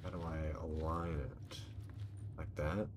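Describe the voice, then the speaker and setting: Deep male voice, slow pace, game streamer, casual sweary commentary, thinking aloud, game noise behind. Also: steady tone. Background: video game ambience.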